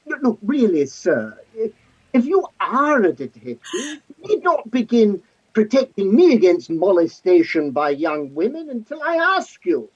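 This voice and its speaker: A woman playing a polite, flustered gentleman in a staged play reading, hesitant and fussily proper, on slightly dull audio. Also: mid-range voice, very animated, unhurried, husky. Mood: firm, protesting.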